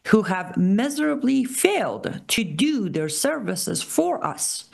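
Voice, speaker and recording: A woman with an Iranian accent hosting a political commentary show, speaking emphatically and repeating key phrases slowly. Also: medium pitch, gravelly. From Iran. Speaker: show host.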